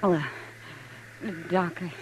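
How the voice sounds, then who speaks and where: A woman's voice: fairly low pitch, steady tone, slow, rough recording. An actress playing an anxious, urgent frontier townswoman in a 1950s radio western. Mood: urgent, breathless. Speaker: radio drama actor. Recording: hissy 1950s radio broadcast recording.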